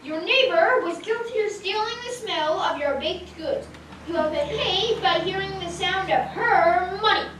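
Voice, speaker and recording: A woman's voice: very high-pitched, a little slow. A woman playing a stern, clever judge in a children's puppet show, speaking in a declamatory, commanding way. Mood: pronouncing judgment. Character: stern, clever judge presiding over a village court. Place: community puppet show in echoey room. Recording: echoey hall, distant mic, faint noise.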